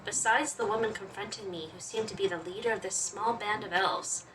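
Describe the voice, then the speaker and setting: A woman with a bright, forward voice reads visual novel narration aloud as a gaming host. She moves between storytelling and playful, casual commentary. The mic sits slightly away from her, with faint noise behind. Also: medium pitch, a little fast, far from the mic, low-quality recording.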